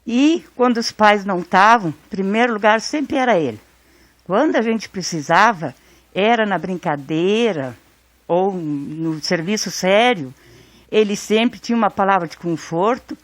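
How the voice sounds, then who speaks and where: Mulher relembrando o irmão, som seco. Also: female voice, medium pitch, dry recording. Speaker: interviewee, sister. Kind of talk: interview recollection.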